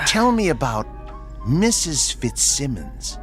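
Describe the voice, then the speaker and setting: A man playing a sinister tormentor in a radio drama, menacingly polite, deliberate and taunting. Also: medium pitch, sing-song. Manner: taunting, deliberate, drops into whispers. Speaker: actor. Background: music.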